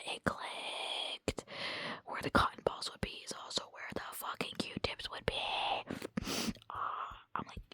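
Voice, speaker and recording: A young woman whispering a rambling late-night vlog into her phone at home, casual and full of swearing. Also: sharp tone. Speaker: vlogger.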